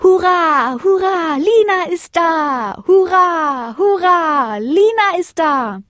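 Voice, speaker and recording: A woman voicing excitable, sweet little girl stars in a children's story, exclaiming in a singsong way. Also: sits high, moderate pace, no room sound, studio quality, pitched above the performer's own voice. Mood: jubilant.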